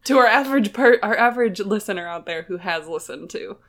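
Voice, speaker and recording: A woman's voice: medium pitch, expressive, brisk, fairly bright tone. A woman co-hosting a podcast in casual chat, stuffy from a cold, throwing in playful echoes and interjections. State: stuffy, getting over being sick. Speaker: podcast co-host.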